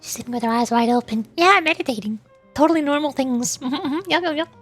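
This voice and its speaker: A young woman with a high, bright voice chats in a playful, giggly way on her live stream. She talks into a desk microphone at home, and soft music plays faintly behind her. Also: quick pace. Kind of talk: casual live-stream chat.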